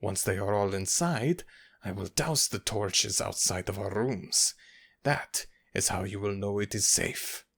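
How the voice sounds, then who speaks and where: A man's voice: on the low side, unhurried, gravelly, fairly bright tone, husky, pitched above the performer's own voice, full character voice. A man voicing a dutiful, eager young male temple servant in an ASMR audio roleplay, speaking with deference.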